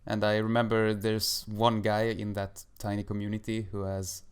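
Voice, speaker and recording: A man's voice: on the low side, completely flat delivery, normal speed, smooth. A man in his twenties from Sweden, chatting casually as a podcast guest with dry, self-mocking irony. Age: 20s.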